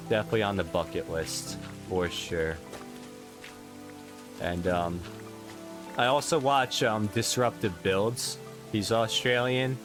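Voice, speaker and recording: Young male streamer, New York accent, low voice, chatty and rambling, soft music underneath. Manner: rambling, self-interrupting, playful, jumps up in pitch for excited questions. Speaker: Minecraft streamer. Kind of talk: casual live-stream chat, reading viewer comments.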